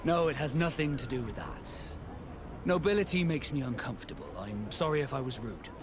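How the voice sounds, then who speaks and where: An actor playing a reserved, dry sea captain in a video game, curt but polite. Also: male voice, medium pitch, expressive, fairly quick, a crowd in the background, close to an everyday voice. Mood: guarded. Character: guarded, dry sea captain, reluctantly at service.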